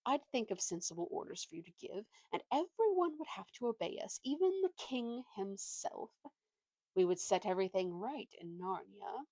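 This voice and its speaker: A woman's voice: medium pitch, expressive, normal speed, dark tone, no echo, close to an everyday voice, rough recording. A woman voicing a manipulative, self-pitying male talking ape in a storybook, with a bossy, wheedling, indignant manner. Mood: persuasive.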